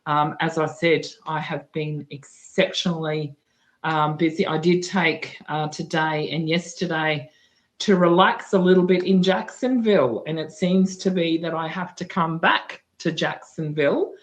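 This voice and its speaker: A woman with a husky, tired voice hosting a livestream news talk, chatty and full of fillers and restarts. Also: low voice, fairly even intonation, a little slow. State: husky voice from talking too much. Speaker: guest news presenter.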